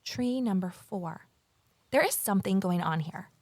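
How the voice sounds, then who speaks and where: A woman's voice: mid-range voice, animated, unhurried, a little mellow, no echo. A woman playing an eager, nosy former journalist turned sleuth, telling her story in earnest first-person audio drama style. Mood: tense, intrigued.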